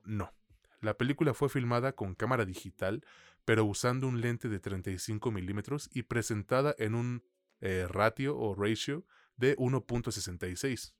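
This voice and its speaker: A man's voice: lowish voice, no room sound. A man with a Mexican accent giving a conversational film review as a podcast co-host, in informal, slangy language. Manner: informal, slangy, peppered with swearing.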